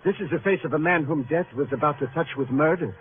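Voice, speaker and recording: Man playing a vain florid photographer, highish voice. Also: fast, phone-quality sound, lightly acted. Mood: self-important, grave. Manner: florid, formal, precise phrasing. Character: vain, theatrical male news photographer, self-styled artist. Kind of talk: 1950s radio drama acting. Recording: noisy, thin vintage radio recording.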